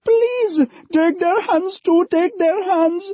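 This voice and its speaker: A man voicing a frantic mother who begs in a shrill, desperate voice. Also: takes it slowly, dry recording. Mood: begging. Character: frantic mother of young boys, begging. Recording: dull lo-fi audio.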